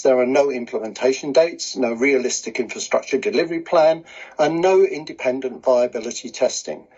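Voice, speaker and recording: A man delivering a formal prepared speech at a council meeting in measured, official wording. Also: mid-range voice, darkish tone, husky. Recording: dull lo-fi meeting recording.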